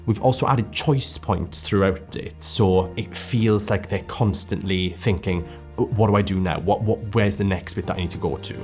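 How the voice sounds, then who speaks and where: A man speaks in an informal interview as a game creator explaining his work. His voice sits on the low side, with soft music underneath. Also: normal speed.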